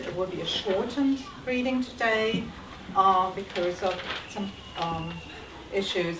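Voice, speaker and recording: A teacher making a polite, formal welcome speech at a school reading, speaking English as a German native with some ums. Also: female voice, on the low side, noisy background. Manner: polite, careful, with ums and pauses. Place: school hall. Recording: echoey hall, distant mic, audible ambience.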